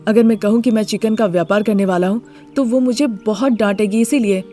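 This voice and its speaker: An affectionate young husband from a moral story, voiced by a woman at a medium pitch. He is earnest and pleading, with faint music behind. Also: brisk, mellow, close to an everyday voice. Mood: earnest, protesting. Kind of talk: Hindi moral story character voice.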